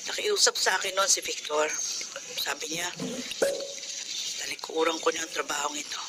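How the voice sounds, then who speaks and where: A woman's voice: low voice, steady tone, moderate pace, close to an everyday voice. A woman playing a tired young nightclub hostess and protective older sister in a radio drama, earnest and weary. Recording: heavy background noise.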